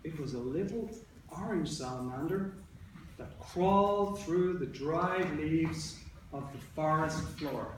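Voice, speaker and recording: A man working as an elementary school teacher, reading aloud to young children and talking with them in an instructive way, repeating and rephrasing. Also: fairly high pitch. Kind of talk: interactive read-aloud to a class of young children. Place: indoor classroom. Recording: roomy, echoey, distant mic with background noise.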